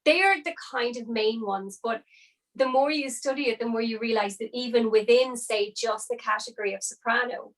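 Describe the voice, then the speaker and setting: This is a woman in her younger adult years, talking easily as an interview guest. Her voice sits a little high. She explains at length, circling with casual fillers. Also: moderate pace. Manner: chatty, explains with many hedging fillers. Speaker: opera singer guest.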